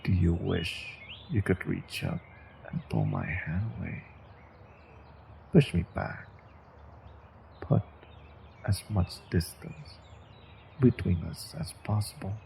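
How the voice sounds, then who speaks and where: A man's voice: deep voice, big pitch swings, takes it slowly, vocal fry, long pauses, mellow, slight background noise, full character voice. A man playing a menacing, condescending evil sorcerer in a fantasy audio roleplay, speaking to a captive with theatrical deliberation. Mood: menacing, taunting. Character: menacing, controlled evil male sorcerer, captor taunting prisoner. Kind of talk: scripted fantasy audio roleplay, intimate second-person monologue.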